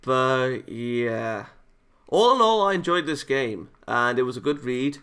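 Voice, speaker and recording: Man with a bright, creaky voice. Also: medium pitch, animated, measured pace. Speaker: gaming video host. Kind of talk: visual novel read-aloud and casual commentary.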